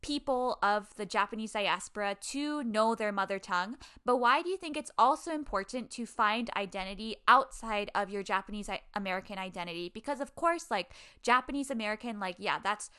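A woman in her twenties from Hawaii, telling a personal story in casual chat, full of "like" and animated asides. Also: medium pitch, a little fast, hardly any pauses, clear, resonant tone. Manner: chatty, heavy use of "like", animated storytelling. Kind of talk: casual conversation. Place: video call.

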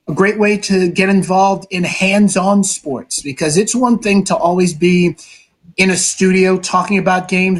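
Guest spot: high-pitched male sports broadcaster, talkative, earnest, a few "uh"s. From New York City, Brooklyn. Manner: talkative, earnest, measured with filler pauses. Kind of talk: casual interview answers.

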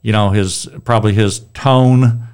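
A man's voice: on the low side, fairly even intonation, measured pace, a little mellow, smooth. A middle-aged man with a strong voice leading a casual Bible discussion, rambling and restarting his sentences. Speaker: Bible study leader.

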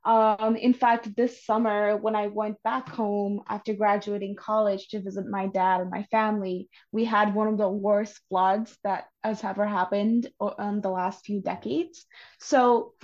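A woman's voice: medium pitch, flat delivery, measured pace, a little mellow. A young woman in her twenties from Bangladesh, a climate organizer explaining her views earnestly as a podcast guest. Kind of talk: podcast interview. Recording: dull lo-fi audio.

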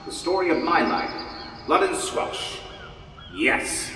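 Casting: male actor as a salty, blustering phantom skipper for radio drama; higher-pitched, swooping delivery, reverberant noisy recording. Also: big pitch swings, takes it slowly, pushed and bright, heavily acted. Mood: grandly scheming. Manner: blustering, sardonic, nautical oaths. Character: gruff, bossy ghost of a salty sea captain. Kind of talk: theatrical audio drama acting. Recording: echoey hall, distant mic, noisy.

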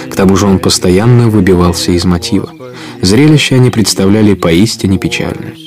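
A man's voice: lowish voice, darkish tone. A man narrating a story for a Russian audio play in a scripted storytelling style.

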